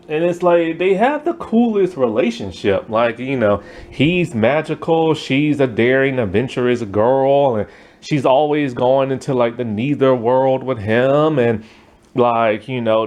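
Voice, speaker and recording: A man casually reviewing a cartoon, rambling with lots of "like" and "you know" and sounding enthusiastic. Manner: enthusiastic, lively pitch swings.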